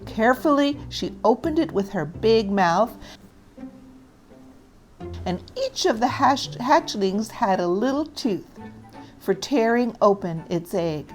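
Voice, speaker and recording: A woman's voice: a little high, lively intonation, slow pace. Woman reading a children's story over music. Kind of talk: scripted children's story narration.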